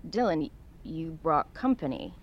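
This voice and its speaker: A woman's voice: low-pitched, very animated, unhurried, lo-fi sound, exaggerated voice. A woman playing a wary, little elderly widow in an audio drama. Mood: doubtful. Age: older. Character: wary little elderly widow seeking contact with dead husband.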